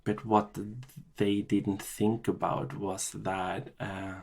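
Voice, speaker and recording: A middle-aged man from Chile, a podcast guest telling his life story in a halting way, with many restarts and fillers. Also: fairly low pitch, flat delivery, creaky, a little mellow. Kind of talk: personal storytelling interview.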